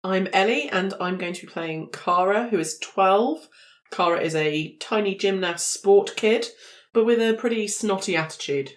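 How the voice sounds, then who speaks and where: A woman's voice: medium pitch, normal speed. A woman taking part in a tabletop roleplaying game, talking casually with the group.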